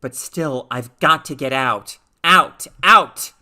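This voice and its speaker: A woman's voice: sits low, slow pace, bright, forceful tone, heavily acted. A woman voicing a frightened, bewildered teenage girl in a story reading, urgent and questioning. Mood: agitated, compelled.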